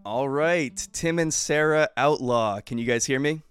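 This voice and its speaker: Smooth-voiced enthusiastic male host. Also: medium pitch, unhurried. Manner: enthusiastic, warm thanks, frequent fillers. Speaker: podcast host. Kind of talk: upbeat interview hosting.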